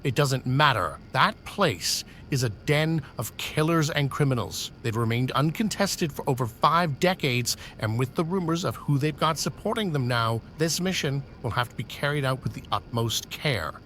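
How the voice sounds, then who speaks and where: A man's voice: medium pitch, lively intonation, normal speed, few pauses, a bit bright, close to an everyday voice. A stern senior officer barking clipped, commanding orders at his subordinate , as a man plays him. His voice sits in a middle register, with a faint hiss of noise under it in this sci-fi audio drama.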